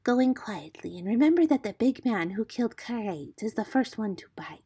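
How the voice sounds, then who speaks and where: A scheming cobra queen from a storybook, put on by a woman. She sounds hushed and conspiratorial. She gives her orders with cold command. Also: a little high, animated, brisk, slightly rough, pitched above the performer's own voice.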